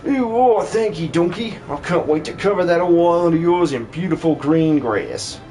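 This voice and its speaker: A man plays an excitable video game worm creature with a high, very lively voice. It gushes in folksy country turns of phrase. Faint music plays behind it. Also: normal speed. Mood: grateful, eager.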